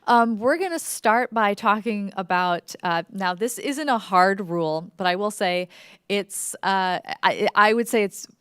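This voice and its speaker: Smooth-voiced woman presenting informally. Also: medium pitch, measured pace, a bit bright, dry recording, studio quality. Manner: conversational, enthusiastic, wordy with frequent "like". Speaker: workshop presenter, fiction podcast creator.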